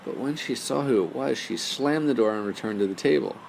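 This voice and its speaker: A man's voice: medium pitch, fairly quick, creaky, darkish tone, slight background noise, low-quality recording. A man reading a bedtime story as storyteller, speaking simply as if to young listeners.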